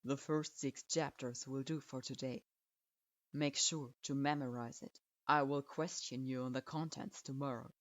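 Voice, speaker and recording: A woman's voice: rumbling low, steady tone, a little slow, dry recording, pitched below the performer's own voice. A woman voicing a stern, cold male ninja teacher who gives curt, clipped commands. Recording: dull lo-fi.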